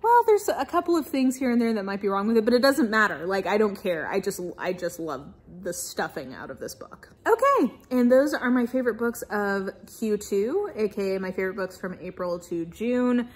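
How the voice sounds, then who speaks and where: A woman's voice: medium pitch, big pitch swings, moderate pace, hardly any pauses, slightly rough. A young adult woman, talking casually and emphatically to camera as an enthusiastic, informal book reviewer. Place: small-to-medium living room indoors with bookshelves.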